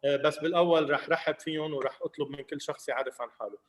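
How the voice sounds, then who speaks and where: A man's voice: fairly high pitch, racing, echoey room. A man with a Lebanese accent hosting an online video call. He switches between languages and uses many fillers. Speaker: host.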